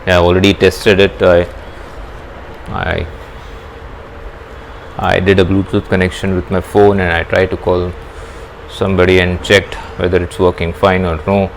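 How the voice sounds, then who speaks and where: A man in his thirties with an Indian accent, casually demonstrating a product to viewers with halting explanations. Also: deep voice, little pitch movement. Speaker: vlog host. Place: small indoor room at home. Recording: clearly noisy room ambience.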